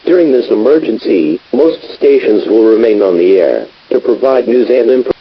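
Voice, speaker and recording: Man as husky emergency alert announcer. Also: lowish voice, very animated, moderate pace, exaggerated voice. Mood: official, serious. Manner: impersonal, official. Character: official male emergency alert station announcer. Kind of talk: formal scripted emergency broadcast announcement. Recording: dull lo-fi radio broadcast.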